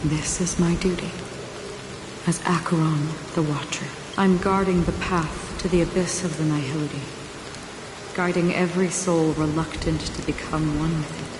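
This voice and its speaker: An actress voicing a solemn female watcher who guides lost souls, speaking in a calm, measured way and softly whispering at times. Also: sits low, close to an everyday voice. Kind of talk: game cutscene voice acting. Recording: rain noise behind the voice.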